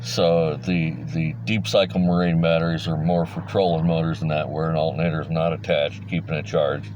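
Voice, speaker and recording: Deep-voiced man, casual and folksy, dull audio. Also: little pitch movement, moderate pace, background noise. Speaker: hobbyist presenter. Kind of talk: casual informal explainer monologue.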